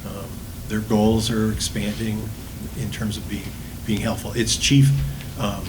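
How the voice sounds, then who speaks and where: A man on a council explaining something in a measured, halting way. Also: lowish voice, level tone. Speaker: council member. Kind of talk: meeting explanation. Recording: meeting recording with clear background noise.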